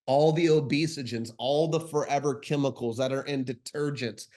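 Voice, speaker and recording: A man's voice: medium pitch, fairly even intonation, normal speed, darkish tone. A man with a congested, stuffy voice giving an emphatic podcast monologue as co-host, insistent and driving his points home with repetition. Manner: emphatic, insistent, repeats phrases for stress. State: congested, runny nose; sleep deprived.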